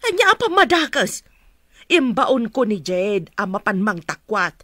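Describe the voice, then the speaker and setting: A woman's voice: mid-range voice, big pitch swings, measured pace, bright, forceful tone, no echo, exaggerated voice. A woman playing a concerned, insistent mother in a radio drama, speaking with an Ilocano accent. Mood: indignant. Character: concerned, insistent mother advising her married daughter.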